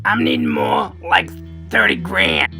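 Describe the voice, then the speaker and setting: A man voicing a depraved, scheming rat, a brash and raspy imaginary friend in a comedy puppet show. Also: a bass voice, wildly swooping pitch, unhurried, faint music behind, exaggerated voice. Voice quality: raspy, gravelly. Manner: brash, sleazy, shouty.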